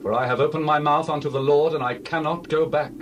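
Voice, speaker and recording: A man's voice: on the high side, steady tone, fast talker, dull audio, natural voice. An actor playing Jephthah, a grave Hebrew warrior and father, in a solemn, declamatory Bible drama. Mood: anguished. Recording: distant mic with clear background noise.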